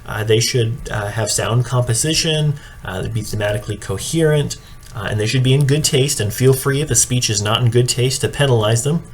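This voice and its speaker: Male instructor, average pitch, measured explanatory delivery for a judge-training presentation, audible noise behind the voice. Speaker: speech and debate coach training judges. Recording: clearly audible background noise.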